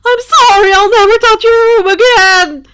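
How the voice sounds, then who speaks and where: A woman's voice: extremely high pitch, fairly even intonation, normal speed, sharp tone, pitched above the performer's own voice. A woman voicing a panicky, timid teenage boy prankster in a story, with an overwrought, hesitant manner. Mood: wailing panic.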